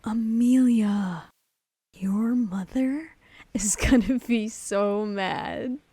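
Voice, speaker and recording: A woman's voice: fairly high pitch, very slow, mellow, breathy edge, dry recording, exaggerated voice. A woman playing a laid-back, westernized aunt, speaking casually and encouragingly with some exaggerated words. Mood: teasing. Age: adult. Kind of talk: casual animated-comic dialogue.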